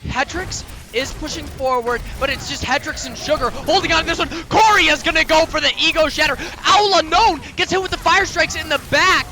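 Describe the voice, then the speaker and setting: A young man calling play-by-play for an esports broadcast, his voice sitting very high and sounding bright and forward. He rattles through the action and gets more excited as the fights build. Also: faint music behind. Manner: rapid play calling that climbs in energy during fights. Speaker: play-by-play caster.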